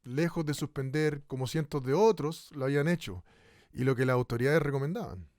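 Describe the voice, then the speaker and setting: An actor playing a polite, earnest radio host conducting a formal live interview in a radio drama. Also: male voice, mid-range voice, a little fast, a little mellow, no room sound, studio quality, lightly acted. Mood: reassuring. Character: courteous, earnest male radio interviewer in troubled times.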